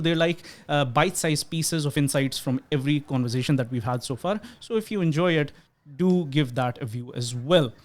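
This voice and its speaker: A man's voice: a little high, a little mellow. A man hosting an interview podcast, introducing his guest earnestly and asking questions with many fillers and restarts. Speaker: podcast host.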